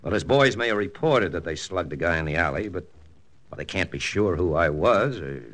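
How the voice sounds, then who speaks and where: An actor playing a hard-boiled army lieutenant, narrating in a clipped first-person style for a 1940s radio drama. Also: male voice, low voice, animated, fairly quick, fairly bright tone. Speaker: narrator and lead. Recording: old radio broadcast with music.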